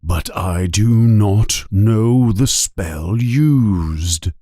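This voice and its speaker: A man voicing a calm, grave elderly wizard headmaster, soft-spoken and measured, with a husky, breathy voice, for a storybook. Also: fairly low pitch, no room sound, clean studio sound.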